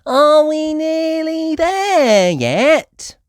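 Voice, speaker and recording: Woman voicing an excitable high-pitched little girl pig. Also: very slow, dry recording, clean studio sound, heavily acted. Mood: excited, cheerful.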